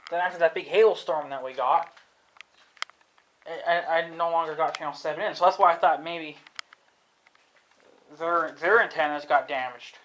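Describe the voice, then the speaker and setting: A man's voice: on the high side, moderate pace, pauses often, fairly bright tone, a little hiss or hum, low-quality recording. A young man, thinking aloud in a casual vlog, unsure of himself and swearing freely. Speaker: vlogger. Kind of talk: rambling casual talk to camera.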